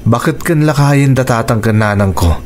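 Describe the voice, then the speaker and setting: An actor playing an earnest husband in a radio drama, pleading and explaining with a northern Philippine accent. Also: male voice, medium pitch, moderate pace, a little mellow, a little hiss or hum, close to an everyday voice. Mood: defensive. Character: earnest husband defending his elderly parents.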